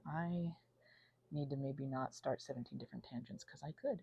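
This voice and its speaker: An anxious, panicky woman rambling casually as a vlog host, halting and drifting off on tangents, with playful theatrical flourishes. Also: rumbling low, vocal fry, warm tone, slightly rough, highs cut off. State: unwell. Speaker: ASMR channel host.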